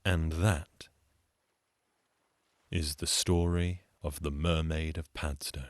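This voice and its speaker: A man telling a folktale as a storyteller, with a measured, dramatic and lyrical delivery. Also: very deep voice, level tone, no room sound. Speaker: storyteller and folk singer. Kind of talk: theatrical folktale storytelling to an audience. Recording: music behind the voice. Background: acoustic guitar music.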